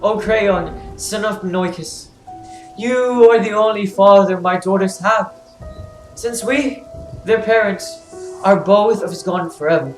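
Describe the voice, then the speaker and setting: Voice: mid-range voice, measured pace, close to an everyday voice. An actor playing a blinded, grieving fallen king who pleads solemnly in a classical tragedy reading. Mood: grieving, pleading. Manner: mournful, pleading, solemn declamation. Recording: roomy echo, faint music behind.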